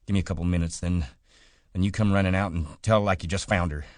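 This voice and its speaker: A man voicing a worried, tough male ranch worker who talks in urgent, clipped, rough speech. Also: deep voice, fairly even intonation, fast, no echo, pitched above the performer's own voice, lightly acted.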